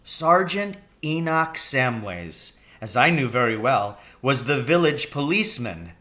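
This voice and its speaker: A man reading a children's story aloud as narrator, in an expressive storytelling style. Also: mid-range voice, a little slow. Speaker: audiobook reader.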